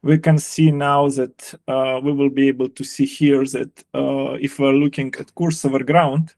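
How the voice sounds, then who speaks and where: A man gives a live software demonstration in a gravelly voice with a slightly unhurried pace. He explains step by step in a halting way, often repeating words. The sound is dry, with very little room in it. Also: mid-range voice, little pitch movement, no echo. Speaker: software presenter and sailing analyst.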